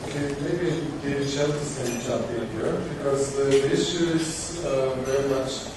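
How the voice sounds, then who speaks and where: A man's voice: medium pitch, moderate pace. A man moderating a conference discussion without a script, steering it from one speaker to another. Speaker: panel moderator. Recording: roomy, distant mic, noisy hall.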